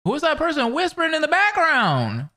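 A young man hosting a reaction video, giving casual, animated commentary. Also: very high voice, a little fast. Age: young adult. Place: small indoor room, gaming chair. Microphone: close microphone on a boom arm.

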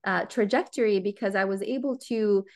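A woman's voice: mid-range voice, moderate pace. A Lebanese American woman in her thirties answering seminar questions over a video call, earnest, with many ums and restarts. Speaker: guest speaker. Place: home room.

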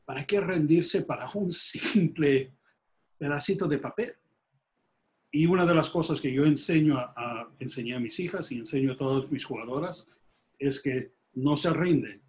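An older man from Peru with a Peruvian Spanish accent, a softball coach speaking steadily on a video call from a small room at home. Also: a little high, moderate pace. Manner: steady, grows animated when making a baseball comparison. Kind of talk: interview over video call.

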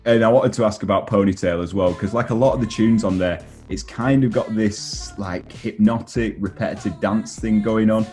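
A young man in his twenties interviewing a musician on a video call with a headset, in a relaxed, laid-back way. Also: on the low side, moderate pace, few pauses, faint music behind. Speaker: interviewer, show host. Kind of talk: casual interview questions.

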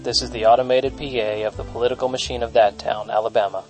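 A man acting a supposedly automated, ominous PA announcer in an audio comedy, deadpan and officious. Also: medium pitch, quick pace, faint music behind, natural voice, low-quality recording.

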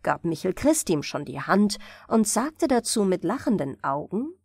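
A woman's voice: mid-range voice, big pitch swings, normal speed, a little mellow, slightly rough, no echo, studio quality. A woman storyteller narrating a scripted bedtime fairy tale for an audiobook.

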